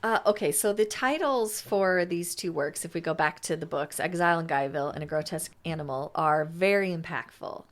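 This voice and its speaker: Encouraging woman interviewer, slightly low voice. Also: brisk, hardly any pauses. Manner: encouraging, affirming listener. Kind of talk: casual conversational interview.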